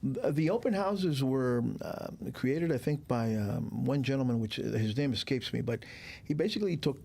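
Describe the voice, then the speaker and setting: A man in his sixties, from Cuba, speaks as a guest with a gravelly, fry-tinged voice at an ordinary middle pitch. He rambles through his stories in a relaxed, easygoing way, leaving frequent pauses and fillers. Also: slight background noise. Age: 60s. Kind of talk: casual interview chat.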